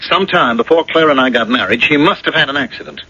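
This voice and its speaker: An actor playing a stern, resolute lawyer husband in a radio drama, speaking in a forceful, insistent way. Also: male voice, a little high, lively intonation, fast talker, breathy edge, heavily acted. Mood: urgent. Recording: dull old radio broadcast.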